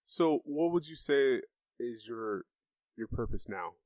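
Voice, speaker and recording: A man's voice: medium pitch, lively intonation, slow, a little mellow, dry recording. A man casually interviewing a guest as a podcast host, with short acknowledgements and questions.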